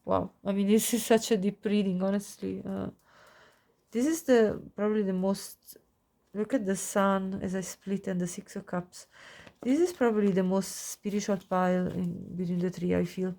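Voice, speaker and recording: A woman with a cold and a nasal voice giving a pick-a-card tarot reading, gushing softly over the cards. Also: medium pitch, steady tone, normal speed, gravelly. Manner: soft, gushing, warm-hearted with frequent little laughs. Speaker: tarot reader.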